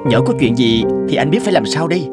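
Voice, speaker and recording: A man's voice: mid-range voice, expressive, quick pace, over loud music, lightly acted. A man playing a concerned, well-meaning young husband in a cartoon, fussing expressively. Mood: worried, exasperated. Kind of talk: cartoon dialogue.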